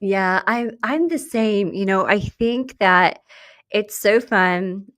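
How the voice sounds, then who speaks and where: A woman's voice: mid-range voice, takes it slowly, fairly bright tone. A woman from Buffalo hosting a podcast, chatting casually and laughing easily with her guest. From Buffalo, USA. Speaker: podcast host. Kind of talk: casual interview chat.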